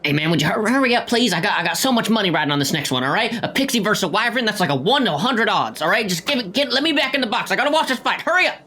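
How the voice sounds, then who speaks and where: A man playing a pushy, impatient gambler in a tabletop game, hurried and insistent. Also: high voice, big pitch swings, pushed and bright, pitched above the performer's own voice, heavily acted. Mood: impatient, indignant. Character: pushy, impatient male gambler bluffing past a guard.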